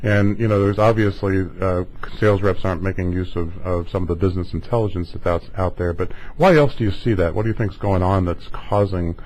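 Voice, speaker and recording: A man's voice: low voice, steady tone, brisk, few pauses, a little mellow, poor audio. A man hosting a conversational interview, talking in long, wandering questions. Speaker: interview show host.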